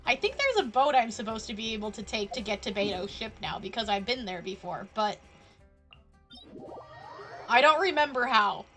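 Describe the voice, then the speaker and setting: A woman's voice: a little high, very animated, moderate pace, pauses often, a bit bright. A woman giving casual live gameplay commentary as a streamer, playful and rambling. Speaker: game streamer. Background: faint game music.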